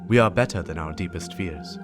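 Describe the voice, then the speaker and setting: A man playing a grave veteran warrior and mentor, giving a measured, oratorical dramatic reading of game lore with weighty pauses. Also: sits low, music in the background, natural voice. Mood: grave, earnest. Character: solemn veteran warrior mentor passing on a cursed weapon.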